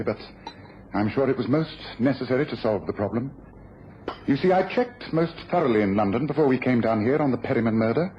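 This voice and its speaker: Radio detective lead: male actor, commanding and precise gentleman, expressive range, slightly noisy recording. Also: mid-range voice, lightly acted. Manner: authoritative, precise, explanatory. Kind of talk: radio drama acting. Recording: dull lo-fi radio, faint noise.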